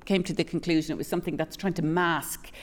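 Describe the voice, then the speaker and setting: A woman's voice: low-pitched, steady tone, fast. A woman hosting a radio interview, playfully joking before she introduces a reading. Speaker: radio host.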